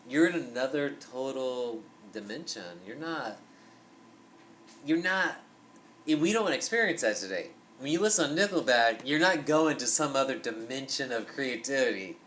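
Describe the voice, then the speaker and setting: A young man hosting a podcast in a small basement room, talking in an animated, rambling way with lots of fillers and swearing, picked up by a camera mic across a table. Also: mid-range voice, moderate pace, slight background noise. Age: young adult. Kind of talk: casual chat.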